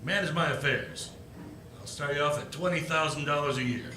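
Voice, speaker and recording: A bright, cutting voice for a domineering old moneyman played by a man in a radio play. He is condescending and calculating, quick to turn irritable. The sound is roomy, with faint noise. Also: on the high side. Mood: sly, calculating. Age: older. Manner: wheedling, condescending, irascible. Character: scheming, domineering old rich businessman. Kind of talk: live 1940s-style radio play acting. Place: live stage performance.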